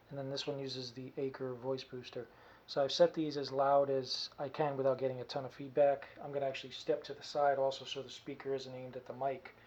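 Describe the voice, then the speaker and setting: A man casually and haltingly explaining gear in a demo, recorded by a camera mic in a small room. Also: mid-range voice, a little mellow, lo-fi sound, a little hiss or hum. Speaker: costume hobbyist demonstrating gear. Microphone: camera mic from a short distance.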